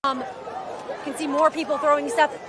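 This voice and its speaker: A woman field reporter giving a live news report from a street protest, steady and informative with frequent fillers. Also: high-pitched, normal speed. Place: outdoors on a city street at a protest. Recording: outdoor street with crowd noise.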